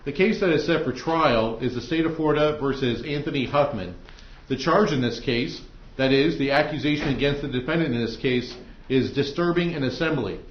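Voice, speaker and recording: A man with an American accent speaking as a judge, formally addressing jurors with halting hesitations. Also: medium pitch. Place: indoor courtroom. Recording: echoey courtroom, dull muffled audio.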